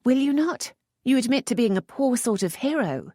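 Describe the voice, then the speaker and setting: A woman narrator voicing an impetuous, sharp-tongued young lady in a Regency audiobook, in a raised, bright voice. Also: a little high, lively intonation, moderate pace, dry recording, studio quality, pitched above the performer's own voice. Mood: anxious teasing.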